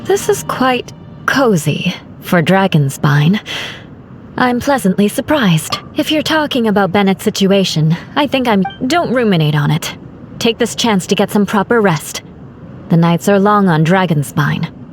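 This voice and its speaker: Game knight, played by a woman: commanding yet composed, formal diction, medium pitch, music underneath. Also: lively intonation, a little slow, natural voice. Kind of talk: video game voice acting. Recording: music playing behind.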